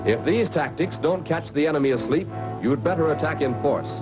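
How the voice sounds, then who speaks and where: A man's voice: a little high, fairly quick, loud music behind the voice. An American man narrating a scripted 1940s military training film, instructing the listener directly on an old film soundtrack. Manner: instructional, direct second-person address. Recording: dull, faintly noisy 1940s film soundtrack.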